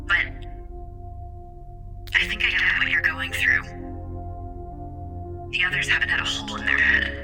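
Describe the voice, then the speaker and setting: An actress playing a steady, resolute crew member in an audio drama, speaking earnestly and deliberately. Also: female voice, on the low side, full character voice. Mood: urgent, consoling. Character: steady, resolute female crewmate urging a comrade on. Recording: loud ambient music behind the voice.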